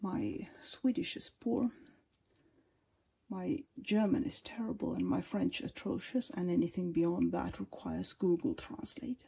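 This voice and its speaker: Finnish woman, slow soft half-whispered tour, raspy creaky voice, lots of ums. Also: fairly low pitch, very animated. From Finland. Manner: soft, often whispery, unhurried, many ums. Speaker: collector giving a tour. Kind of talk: casual narrated collection tour. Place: indoors at display cabinets at home.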